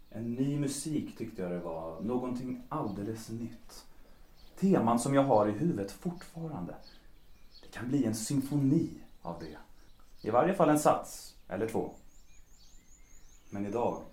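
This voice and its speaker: An actor playing a cynical, self-destructive bohemian composer in a period audio drama, world-weary and mocking, with self-pity underneath. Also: male voice, mid-range voice, big pitch swings, long pauses, mellow, natural voice. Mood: weary, dreamy. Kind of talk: period stage-play audio drama acting in Swedish. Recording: roomy, echoing sound.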